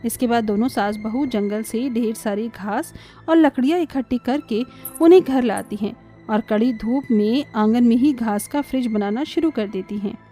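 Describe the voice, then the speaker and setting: A woman reading scripted narration for an animated story as the storyteller. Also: a little high, normal speed, faint music behind.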